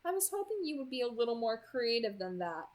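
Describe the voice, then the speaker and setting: A woman voicing a pleasant, coolly amused male kidnapper in an audiobook, polite and controlling, with a light, smooth delivery. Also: on the high side, sing-song, a little fast, a little mellow. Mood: politely menacing. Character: pleasant, softly menacing man, coolly amused kidnapper on phone.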